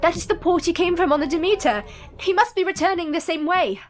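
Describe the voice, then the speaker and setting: A woman's voice: extremely high pitch, quick pace. A woman playing a brave, composed young Victorian wife in a radio play, speaking formally and earnestly. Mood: entranced reporting.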